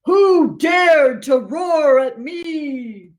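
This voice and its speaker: A woman voicing a huge, menacing, greedy monster in a storybook, with drawn-out, looming words. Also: high voice, expressive, darkish tone, heavily acted.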